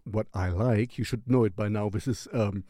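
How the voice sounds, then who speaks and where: A man's voice: fairly low pitch, fairly even intonation, dark tone, no room sound, studio quality. A man hosting a music recommendation show in a casual, rambling, playful and distracted way. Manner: playful, distracted, halting delivery.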